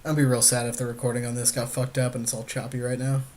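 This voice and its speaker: A man's voice: medium pitch, little pitch movement, fast talker, a little mellow. A man co-hosting a gaming podcast, giving casual, slangy commentary with a wry, joking manner. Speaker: podcast co-host and game partner.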